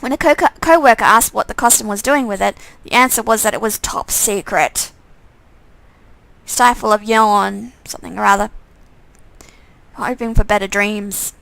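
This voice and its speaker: A woman's voice: mid-range voice, normal speed, creaky, a bit bright, no room sound, poor audio. A woman streaming a visual novel game, reading its text aloud casually and sometimes stumbling over phrases and repeating them.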